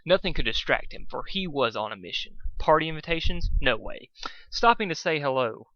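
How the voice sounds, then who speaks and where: A man's voice: a little high, animated, a little fast, pushed and bright, dry recording, dull audio. A man, an amateur reader who talks casually and stumbles in a self-deprecating way. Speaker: amateur fan fiction reader. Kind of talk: casual rambling introduction and informal story reading.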